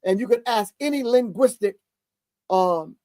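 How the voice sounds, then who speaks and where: A man's voice: high voice, expressive, a little slow, a little mellow, dry recording, clean studio sound. A man in his sixties hosting a show, reacting to a video with emphatic, preachy commentary and repeated key phrases. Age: 60s. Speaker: host.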